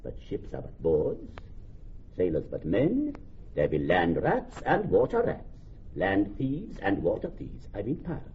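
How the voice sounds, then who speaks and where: Dull, slightly noisy radio drama. resentful moneylender, theatrical and musing, extremely animated intonation. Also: male voice, fairly low pitch. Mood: animated, calculating. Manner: deliberate, theatrical, repeats phrases musingly. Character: shrewd, resentful Venetian moneylender, calculating and grudging. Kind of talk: classical Shakespearean radio drama acting. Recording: dull, lightly noisy old radio recording.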